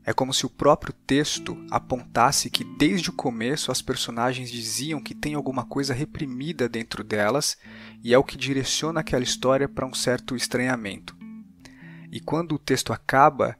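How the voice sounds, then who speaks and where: A man's voice: mid-range voice, fairly quick, a bit bright, faint music behind. A man with a Brazilian accent hosting a reflective podcast discussion.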